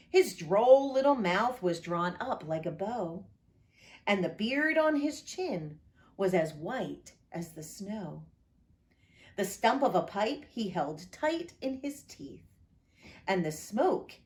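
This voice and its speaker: Slow, expressive woman pastor reading aloud. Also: medium pitch. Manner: expressive, lilting read-aloud delivery; friendly direct address to listeners.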